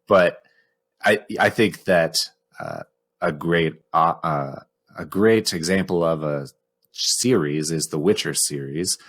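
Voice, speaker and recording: A man's voice: very deep voice, slow, a bit bright. A man co-hosting a podcast, explaining his opinions in a casual, analytical way. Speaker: podcast co-host.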